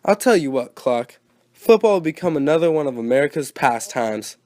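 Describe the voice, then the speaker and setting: Man playing a higher-pitched, eager 1920s radio co-host. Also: lively intonation, moderate pace, darkish tone, dry recording, clean studio sound, lightly acted. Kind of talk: 1920s radio broadcast acting with period slang.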